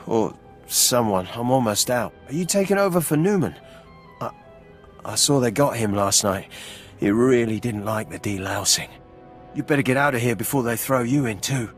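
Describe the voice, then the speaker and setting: Man playing nervous young soldier, naive, jumpy, pleading, mid-pitched, video game scene with music. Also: animated, normal speed, natural voice. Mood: nervous relief. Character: anxious, naive young male Imperial prison guard.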